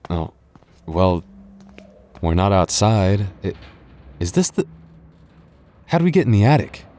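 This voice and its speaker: A man voicing an affectionate, teasing young goth boyfriend in an ASMR roleplay, talking softly and intimately. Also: deep voice, sing-song, a little slow. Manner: soft, intimate, playfully teasing. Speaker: voice actor.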